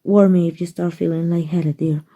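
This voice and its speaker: A woman voicing a kind, doting, aristocratic female vampire in a soft, gentle ASMR roleplay. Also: lowish voice, steady tone, normal speed, muffled recording. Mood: soothing, pleased. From Mexico. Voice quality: breathy, soft. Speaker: voice actor.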